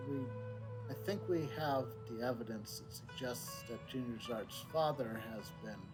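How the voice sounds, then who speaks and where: A man gives live playthrough commentary in a medium-pitched voice. He talks slowly and haltingly, thinking things through aloud. Loud game music runs behind him. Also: low-quality recording. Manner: halting, deliberative. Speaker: game streamer.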